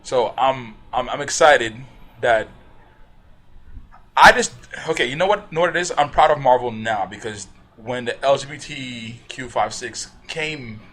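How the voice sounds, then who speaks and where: Man from the Bahamas, podcast guest, casual, animated, bright tone. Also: mid-range voice, measured pace. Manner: animated, laughs often. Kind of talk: casual chat.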